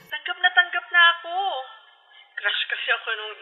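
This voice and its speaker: A woman's voice: very high-pitched, animated, normal speed, narrow, tinny audio. An actress with a Filipino accent playing a sassy young woman in a radio drama, music underneath. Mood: teasing, fond. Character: bright, teasing, bossy young woman.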